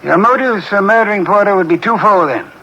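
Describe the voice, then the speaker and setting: An actor playing a pompous, brilliant detective who speaks in curt, formal, precise phrases, in 1950s radio mystery style. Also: male voice, high-pitched, brisk, bright, forceful tone, dull audio. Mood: stern, accusing. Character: pompous, sedentary, brilliant middle-aged male private detective. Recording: vintage 1950s radio broadcast, faint hiss.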